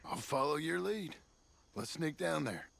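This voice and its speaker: A man playing a gruff, dry gunslinger partner in a western video game. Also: a little high, lively intonation, a little slow, a bit bright, a little hiss or hum, heavily acted. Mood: resigned.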